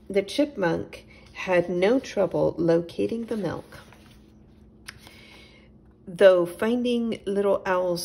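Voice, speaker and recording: A woman's voice: lowish voice, creaky, a little mellow, husky. A woman storyteller reading a children's story aloud.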